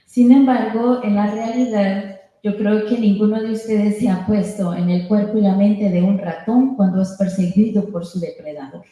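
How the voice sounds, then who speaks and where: A woman with a Spanish accent makes a public comment as a union member. Her voice is mellow and mid-pitched, and she speaks in short passages. The meeting room rings with heavy echo. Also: fairly even intonation, a little fast. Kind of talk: public comment speech with pauses for an interpreter. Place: indoor public meeting hall.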